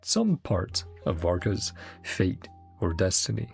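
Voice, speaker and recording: A man's voice: low-pitched, sing-song, slow, creaky, soft background music. A man rambling casually as he streams a game and reacts to it. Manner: rambling, chatty.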